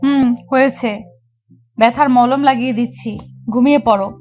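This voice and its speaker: High-voiced caring Bangladeshi college girl, acted by a woman. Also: steady tone, normal speed, darkish tone, a little hiss or hum, natural voice. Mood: tender concern. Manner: chiding, affectionate. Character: caring young college girl, scolding yet tender. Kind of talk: scripted audio love-story drama.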